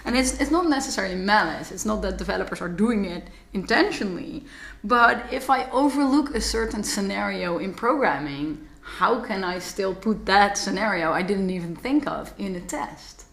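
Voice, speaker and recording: A woman's voice: medium pitch, expressive, moderate pace, gravelly, a bit bright, reverberant. A woman whose first language is Dutch hosts a podcast interview and asks probing questions. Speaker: podcast host.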